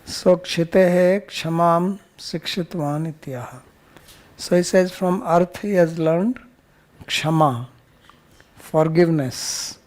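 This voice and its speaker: A man's voice: on the high side, a little mellow. A man speaking as a spiritual teacher, explaining scripture verse by verse in a plain-spoken way.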